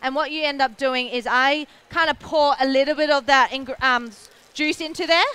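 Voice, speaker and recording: A young Australian woman explains a recipe step by step to a live crowd on a show-stage kitchen. Her voice is high and very bright, and her manner is friendly and easy. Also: fairly even intonation, moderate pace. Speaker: cooking demonstrator. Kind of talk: live cooking demo.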